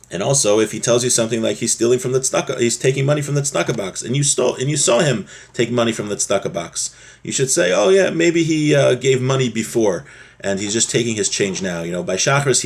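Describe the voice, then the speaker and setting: A man with a husky voice giving an informal religious lesson, explaining a text step by step and often rephrasing his points. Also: mid-range voice. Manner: repeats and rephrases, checks in with "right?". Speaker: religious teacher giving a class.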